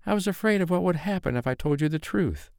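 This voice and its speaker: A man voicing a frightened young woman who pleads softly through tears in an audiobook. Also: lively intonation, quick pace, gravelly, no echo, studio quality. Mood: tearful pleading. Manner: soft, pleading, voice raised high.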